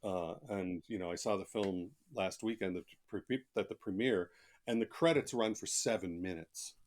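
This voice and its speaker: A man with a fairly low voice talks as a guest author in a relaxed podcast interview. He tells anecdotes in plain, conversational language and leans on key words for emphasis. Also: moderate pace.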